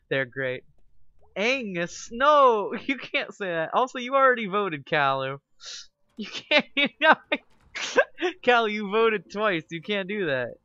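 A male game streamer in casual live chat with viewers, excitable and teasing, laughing often. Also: high-pitched, dry recording, muffled recording.

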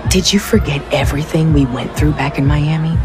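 An actress playing a guarded, stubborn young street racer, dry and defiant, in a game cutscene. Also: female voice, low voice, brisk, over music, a crowd in the background, close to an everyday voice. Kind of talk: video game cutscene acting.

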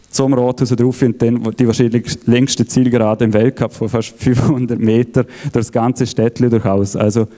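Man, stage presenter, dark flat delivery, halting with fillers. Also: medium pitch. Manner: halting, many fillers and restarts. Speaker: event organizer presenting. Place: event hall, on stage.

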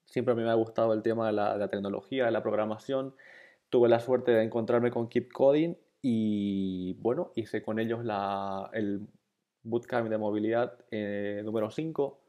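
A man from Bolivia, introducing an online programming course in a relaxed, conversational way. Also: lowish voice, moderate pace, dull audio. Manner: relaxed, conversational, with fillers and repeated encouragement. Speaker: course instructor. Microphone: voice-over over slides.

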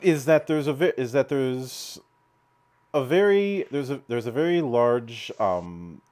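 A man's voice: medium pitch, lively intonation, unhurried, a little mellow. A man hosting an interview, asking long, rambling questions with fillers. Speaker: interview host, vtuber. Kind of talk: casual interview over a call.

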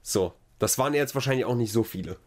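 A man's voice: mid-range voice, lively intonation, fast talker. A man hosting a podcast in casual conversation, telling rambling anecdotes in a sardonic way. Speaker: podcast host.

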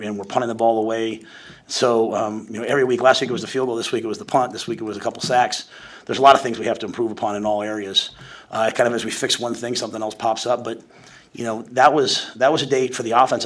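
A man in his forties, a head football coach, answering questions at a postgame press conference from a podium, talking in a rapid, conversational way full of restarts and fillers. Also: medium pitch, a bit bright, slightly rough. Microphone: podium mic.

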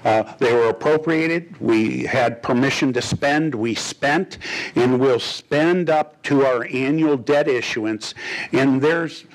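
A man's voice: mid-range voice, slow, poor audio. An older man speaks into a desk microphone in an indoor boardroom. He talks the board through a finance item plainly, stumbling into restarts as he goes. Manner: plain-spoken, gestures a lot, restarts often. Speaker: finance official. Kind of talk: explaining to a board. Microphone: desk microphone on a stand.

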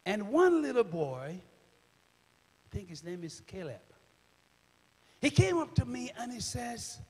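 A middle-aged man preaching a sermon on a church stage with a handheld microphone, swinging from loud shouts to hushed near-whispers. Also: sits high, sing-song, slow pace, slightly rough. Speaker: minister. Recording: reverberant church hall.

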